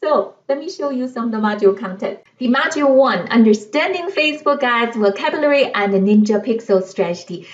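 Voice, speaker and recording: A woman's voice: a little high, dull audio. Upbeat woman with a Chinese accent. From China. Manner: enthusiastic, emphatic, salesy. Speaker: online course reviewer. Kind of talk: casual product review to camera.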